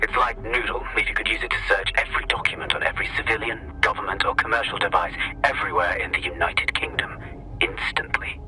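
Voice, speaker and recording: A man's voice: fairly low pitch, sing-song, fast, poor audio. A man playing an explanatory tech briefer in a video game.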